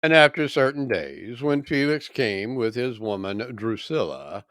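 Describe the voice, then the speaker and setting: A man reading scripture aloud as a solemn narration, with deliberate phrasing and dramatic emphasis. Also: mid-range voice, animated, a bit bright, dry recording, clean studio sound. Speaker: scripture reader.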